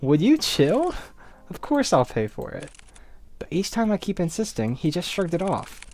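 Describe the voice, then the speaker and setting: A man's voice: sits high, huge pitch swings, normal speed, a little mellow, pitched above the performer's own voice. A man voicing an earnest, sheepish and grumbling male private investigator in a visual novel. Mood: defensive.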